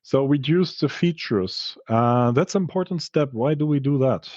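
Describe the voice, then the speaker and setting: A man who speaks English as a second language gives a technical research presentation in a halting, explanatory way, correcting himself as he goes. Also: medium pitch, darkish tone, no echo. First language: not English. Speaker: researcher presenting study results. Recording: slightly dull, dry lo-fi audio.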